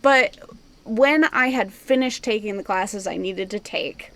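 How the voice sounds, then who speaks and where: A young woman in her twenties recounts personal stories in a relaxed, animated way, often breaking into laughter. Her voice sits at an ordinary middle pitch. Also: a little slow. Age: 20s. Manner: animated, laughs often, tells long anecdotes. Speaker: podcast co-host. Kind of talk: casual storytelling.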